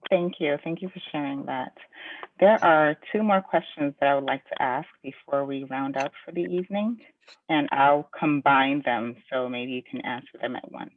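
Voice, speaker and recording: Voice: medium pitch, normal speed, a little hiss or hum. A moderator reading out audience questions and politely thanking the guest speaker over a video call. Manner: polite, scripted. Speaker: webinar moderator. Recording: tinny dry phone-like call audio.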